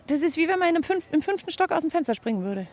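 A woman's voice: extremely high pitch, sing-song, rapid-fire, a little mellow. A woman reporter talking through her own experience in an on-location radio report. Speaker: reporter trying a high dive.

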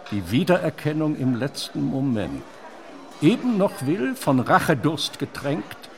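An earnest male theorist of imaginary operas, interviewed in a radio play. His delivery is formal and emphatic, with a lively, widely moving pitch at a middle register. He explains like a scholar who takes his schemes entirely seriously. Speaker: interviewed author.

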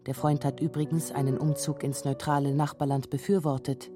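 A woman's voice: deep voice, level tone, fairly quick, music in the background. A woman narrating a scripted radio feature as its documentary narrator.

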